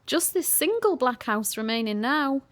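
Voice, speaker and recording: A woman's voice: high voice, sing-song, moderate pace, a bit bright. An actress in a community radio play, playing a young woman who joins a paranormal investigation and tends to ramble eagerly.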